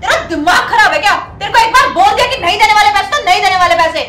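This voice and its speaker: Woman acting a haughty, high-pitched bullying customer. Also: expressive, a little fast. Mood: angry, threatening. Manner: scolding, contemptuous, taunting. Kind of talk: melodramatic scripted Hindi skit acting. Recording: roomy echo, faint music behind.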